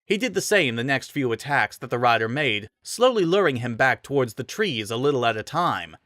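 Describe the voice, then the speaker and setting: A man's voice: mid-range voice, big pitch swings, fairly quick, fairly bright tone, no echo, studio quality. A man giving a dramatic reading of a fantasy story as narrator.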